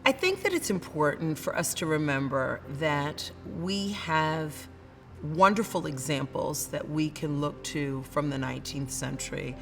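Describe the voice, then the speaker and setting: A woman's voice: low voice, animated, measured pace, a bit bright, in a large hall, soft background music. A woman literature professor with a Northern US accent, speaking earnestly and building her points through repeated phrases. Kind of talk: dramatic reading then reflective commentary.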